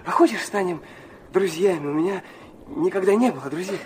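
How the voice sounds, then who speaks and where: A man's voice: high voice, fairly quick, background noise, close to an everyday voice. An actor playing an ardent, touchy, impulsive young man who narrates his own story fervently in a radio drama. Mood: elated, tender. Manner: fervent, dramatic, emphatic repetitions.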